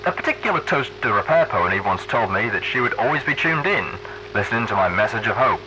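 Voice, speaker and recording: A man's voice: on the low side, big pitch swings, fast talker. Showy pony radio host voiced by a man over heavy static. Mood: earnest. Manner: showy, folksy, heartfelt. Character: upbeat, theatrical pony radio news host. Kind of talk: radio broadcast monologue, earnest and dramatic.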